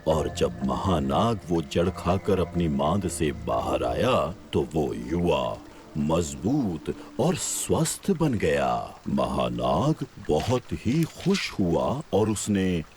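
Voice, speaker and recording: Kindly old cartoon animal mentor, very low lilting voice, storyteller's patience, music underneath. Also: male voice, big pitch swings, darkish tone, exaggerated voice. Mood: storytelling. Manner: slow, patient, storyteller-like delivery. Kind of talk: animated children's cartoon dub.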